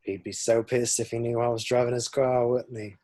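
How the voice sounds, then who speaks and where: A man's voice: mid-range voice, level tone, fairly quick, dark tone, natural voice. A man reading a laid-back, crude young slacker sidekick in an amateur film script table read. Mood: casual.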